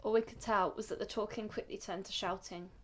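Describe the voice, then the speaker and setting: Radio drama grandmother, played by a woman: weary, dignified, solemn, mid-pitched, measured storyteller. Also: fairly even intonation, fairly bright tone, close to an everyday voice. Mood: solemn, reflective. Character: weary, dignified Ethiopian-Israeli grandmother recalling her flight.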